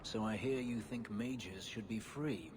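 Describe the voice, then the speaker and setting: Actor as a low, brooding warrior. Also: male voice, unhurried, big-room sound, noisy background, natural voice. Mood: brooding, guarded. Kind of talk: video game dialogue acting.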